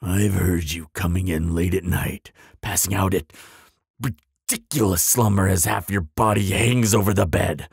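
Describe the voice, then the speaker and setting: A man voicing a proud, grumpy but soft-hearted bogeyman in an audio roleplay, with a gravelly rasp and a pompous, easily flustered delivery. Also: low voice, fairly even intonation, unhurried, no room sound, clean studio sound, full character voice. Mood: exasperated. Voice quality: raspy, gravelly. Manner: pompous, flustered stammering, dramatic.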